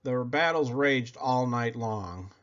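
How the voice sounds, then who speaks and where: A man reading a children's story aloud in a theatrical, expressive way, stretching out words for effect. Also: mid-range voice, takes it slowly. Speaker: storybook reader. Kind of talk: animated children's read-aloud. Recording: slightly dull, lo-fi audio.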